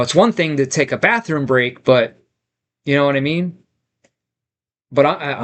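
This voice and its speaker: A man casually chatting on a livestream, reading viewer comments aloud and rambling with lots of fillers and restarts. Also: a little high. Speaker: streamer reading viewer chat.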